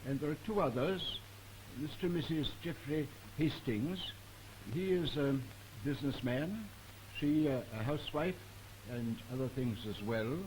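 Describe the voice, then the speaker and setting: A British man moderating a formal 1950s political broadcast in a measured, halting, deliberate manner. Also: a little high, darkish tone, poor audio. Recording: hissy 1950s archive broadcast recording.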